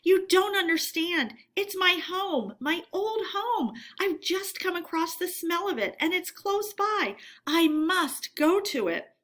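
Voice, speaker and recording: Woman as little male mole, anxious, imploring, storybook character, high pitch, bright tone. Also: lively intonation, slow pace, hardly any pauses, heavily acted. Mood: anguished pleading. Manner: imploring, emphatic. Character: anxious homesick male mole, small and pleading.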